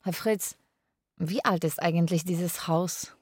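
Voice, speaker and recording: An actress playing a polite, persistent young Polish carer in a radio drama, with careful phrasing, both narrating and speaking. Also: female voice, fairly low pitch, fairly even intonation, slow pace, breathy edge, no echo. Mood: polite, caring. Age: young adult. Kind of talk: radio drama, part narration in third person.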